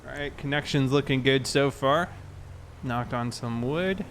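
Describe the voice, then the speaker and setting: A man's voice: medium pitch, a little slow, slight background noise. An American man chatting casually and in a laid-back, conversational way while livestreaming a game.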